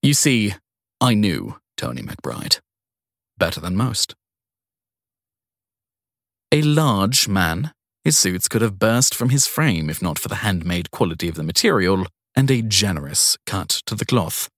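Male audiobook narrator, very low pitch, lively intonation, scripted crime storytelling, dry studio-like sound. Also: unhurried, long pauses, no room sound. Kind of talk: scripted first-person crime fiction narration.

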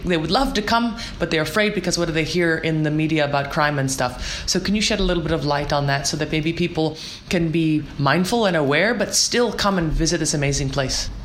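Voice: mid-range voice, fairly quick, hardly any pauses, fairly bright tone. An interviewer in a middle register asks questions with open enthusiasm, gushing a little as they set up each topic. A faint ambient noise sits in the background. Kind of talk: conversational interview.

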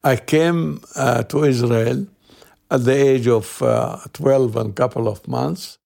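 An older man from Iraq, speaking slowly and haltingly about his life in an interview. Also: medium pitch, gravelly, darkish tone. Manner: slow, halting, plain-spoken. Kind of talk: reflective interview.

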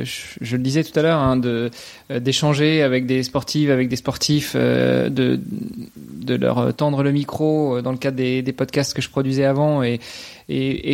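A man in his thirties from France, talking casually in an interview with many pauses and restarts. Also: medium pitch, vocal fry, darkish tone. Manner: hesitant, many fillers and restarts. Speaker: podcast guest, athlete.

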